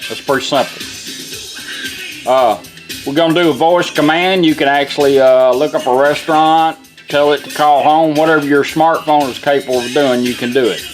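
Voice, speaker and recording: A man giving a casual, plain-spoken hands-on product demonstration in an informal way. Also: on the high side. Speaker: car radio restorer demonstrating product.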